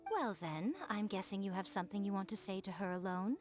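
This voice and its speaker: A woman's voice: mid-range voice, a little fast, a little mellow, soft background music, lightly acted. A woman voicing a sly, teasing, worldly fox spirit in an anime game. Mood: knowing, teasing.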